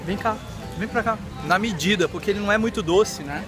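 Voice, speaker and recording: Brazilian male vlogger, 30s, high bright voice, chatty and excited, phone mic outdoors with music under it. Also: fairly quick. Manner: chatty, excited, lots of "né?" tags. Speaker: vlogger host. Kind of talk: upbeat vlog chat. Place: outdoors in a city park. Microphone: phone at arm's length.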